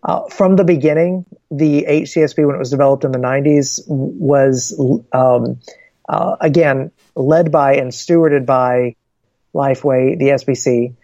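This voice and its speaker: A male interview guest speaks in an earnest, measured way and builds his explanations point by point. The recording sounds slightly dull and lo-fi, and the close, dry sound has no room echo. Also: mid-range voice, gravelly, warm tone. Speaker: guest, Bible publishing ministry representative.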